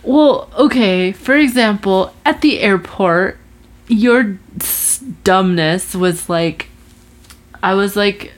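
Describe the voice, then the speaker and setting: A woman's voice: mid-range voice, slow. A woman in her twenties chatting casually about her resolutions, telling a story with lots of fillers and restarts. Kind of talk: casual chat.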